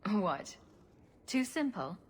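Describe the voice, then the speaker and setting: A woman's voice: medium pitch, big pitch swings, slow, slight background noise. A woman playing a sarcastic, teasing and confident rogue adventurer in a video game cutscene, glib in her delivery. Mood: wry.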